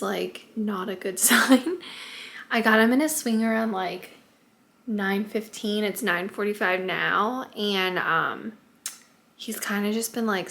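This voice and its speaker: A young woman vlogging casually at home as a stay-at-home mom, chatty and often hushed, congested and a bit raspy from allergies. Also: medium pitch. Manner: chatty, lots of "like" and "um", often hushed to not wake the baby. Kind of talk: casual vlog talk to camera.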